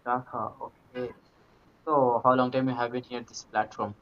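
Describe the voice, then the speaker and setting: A man from India speaks over a video call. His audio comes through dull and lo-fi. He talks in halting bursts with loose grammar, eager to make his point. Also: mid-range voice, level tone, a little hiss or hum. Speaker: English practice partner. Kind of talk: casual chat in English practice.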